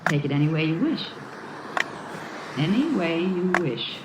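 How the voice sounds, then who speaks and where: Catty, condescending young woman for vintage radio drama; animated delivery, noisy distant recording. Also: fairly low pitch. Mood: cold, menacing. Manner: mocking, sugary condescension with drawn-out endearments. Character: catty, smug young woman taunting her former friend. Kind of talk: old-time radio drama acting. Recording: muffled, noisy, roomy old radio recording.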